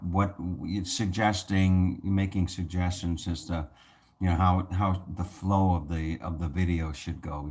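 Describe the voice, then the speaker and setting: Very deep-voiced man rambling softly. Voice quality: soothing voice. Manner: rambling, many restarts and fillers. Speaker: channel host. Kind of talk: casual talk to camera.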